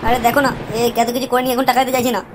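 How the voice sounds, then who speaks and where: Woman playing a high, rapid-fire sassy cartoon housewife. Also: level tone, music in the background, close to an everyday voice. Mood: scornful demanding. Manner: sassy, scolding. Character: brash, scornful, mercenary cartoon married woman. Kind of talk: comic cartoon dialogue. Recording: noisy with clicks.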